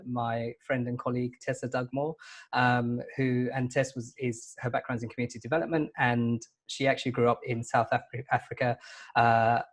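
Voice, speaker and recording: A man hosting an online panel discussion, introducing guests in a relaxed way with many ums and restarts. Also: mid-range voice. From United Kingdom. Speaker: panel host.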